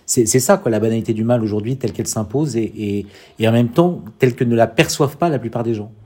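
A man interviewing a guest, speaking haltingly with many fillers. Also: mid-range voice, darkish tone, breathy edge. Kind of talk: interview questions.

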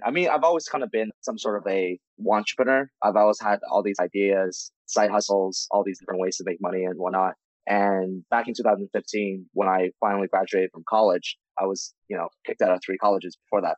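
A man in his late twenties from China, a guest entrepreneur telling his story in relaxed, candid casual chat. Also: lowish voice, fairly quick, no echo, rough recording.

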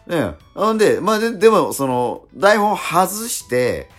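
A man co-hosting a radio show in casual chat, telling stories excitably with repeated emphatic words. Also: high voice, measured pace, soft background music. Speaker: radio show co-host and stage actor. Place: recorded radio programme.

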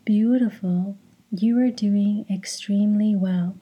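A woman leading a guided meditation in a hushed, breathy voice, giving calm, soothing, deliberate instructions. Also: mid-range voice, dull audio.

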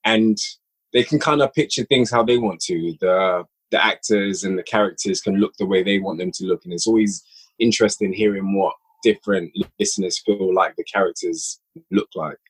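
Husky man chatting eagerly. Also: low voice, normal speed, rough recording. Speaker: podcast guest, audio drama writer and director. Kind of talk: casual conversation.

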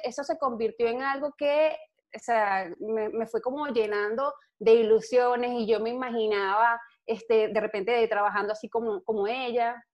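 Outgoing young Venezuelan woman, lo-fi. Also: a little high. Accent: Venezuelan Spanish. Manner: outgoing, animated storytelling, laughs easily. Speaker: guest. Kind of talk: casual interview chat. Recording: dull lo-fi sound.

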